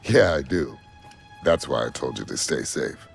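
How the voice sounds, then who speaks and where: A man's voice: rumbling low, animated, unhurried, gravelly, full character voice. A man playing a tough, protective street racer leader in a game cutscene, speaking in terse, laconic lines. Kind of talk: video game cutscene acting. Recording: dramatic music behind the voice.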